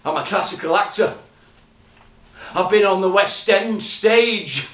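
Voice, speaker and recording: Brash pantomime dame, high and bright, cheeky and flirty, heard from across a roomy space. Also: male voice, unhurried. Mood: boastful teasing. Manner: flirty, cheeky, teasing the audience. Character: bawdy brash pantomime dame, ugly sister. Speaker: stage performer. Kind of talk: pantomime stage comedy. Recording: roomy and distant.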